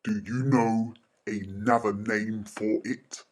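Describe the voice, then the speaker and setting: A man giving deliberate, expressive educational narration as a presenter for children. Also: lowish voice.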